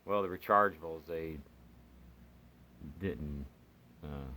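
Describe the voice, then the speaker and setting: A man reviewing gear as a hobbyist in a casual, unscripted, halting and rambling way, with pauses as he explains. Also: rumbling low, pushed and bright, slight background noise.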